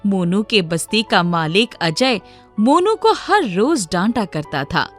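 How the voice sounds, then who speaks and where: A woman's voice: medium pitch, sing-song, takes it slowly. Woman narrating a story over music. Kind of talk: scripted children's moral story narration.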